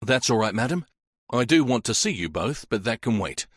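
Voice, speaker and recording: A man voicing a polite, patient police inspector who asks measured questions. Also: mid-range voice, big pitch swings, breathy edge, no room sound, studio quality.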